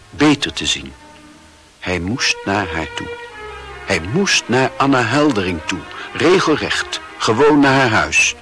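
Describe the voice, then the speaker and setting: A man narrating a literary radio drama in a measured, dramatic way. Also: medium pitch, fairly bright tone.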